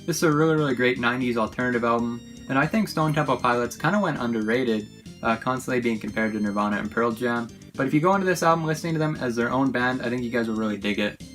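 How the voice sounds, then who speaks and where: A man's voice: mid-range voice, fast talker, fairly bright tone, soft background music. A man hosting a casual show-and-tell vlog in an informal, enthusiastic way. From Canada. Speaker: YouTube host.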